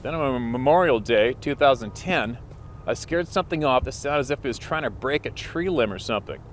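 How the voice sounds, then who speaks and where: A man's voice: mid-range voice, expressive, fairly quick, a little hiss or hum. A man hosting a channel, reading a listener's letter aloud as a plain story, recorded outdoors. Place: outdoors by the water.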